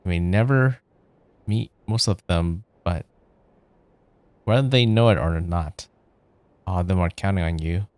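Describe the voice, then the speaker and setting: A man's voice: deep voice, unhurried, pauses often, darkish tone, no echo. A man doing let's play commentary as a game streamer, chatting and reading story text aloud.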